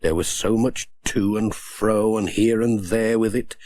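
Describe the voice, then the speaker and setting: A man's voice: on the low side, fairly even intonation, unhurried, darkish tone, close to an everyday voice. A man voicing a mild, hesitant, apologetic postman and father in a children's storybook recording. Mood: weary, relieved. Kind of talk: audiobook character acting.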